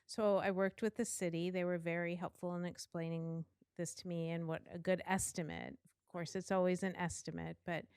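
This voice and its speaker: Woman, finance superintendent, quiet smooth voice, close mic, dry. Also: on the low side, flat delivery, moderate pace, no echo. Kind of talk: finance report. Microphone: talking directly into the microphone.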